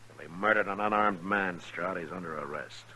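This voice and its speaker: A man playing a calm, weary, steadfast frontier marshal with an American accent and measured, understated delivery in a 1950s radio western. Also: deep voice, slight background noise, rough recording. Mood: stern. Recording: vintage 1950s radio recording.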